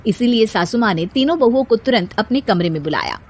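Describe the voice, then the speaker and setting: A woman's voice: a little high, expressive, fairly quick, darkish tone. A woman narrating a scripted moral bedtime story as the storyteller.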